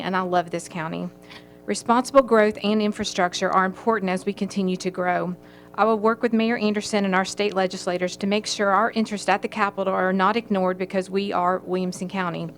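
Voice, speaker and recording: A woman with a Tennessee accent, a county commission candidate reading a prepared campaign statement in a steady, sincere way. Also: mid-range voice, slight background noise. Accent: Southern US, Tennessee. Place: county government meeting room.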